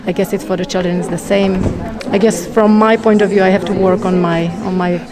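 A woman's voice: medium pitch, steady tone, brisk, crowd noise. A woman in her thirties or forties from the Czech Republic, with a Czech accent, answering interview questions as a parent in hesitant, restarting English. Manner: hesitant, many fillers and restarts.

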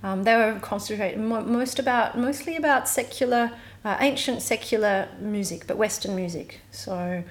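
A woman talks as an interview guest at an average pitch. She speaks hesitantly, with halting starts. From Australia. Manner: hesitant, many fillers and restarts. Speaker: guest musician. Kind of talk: casual interview chat.

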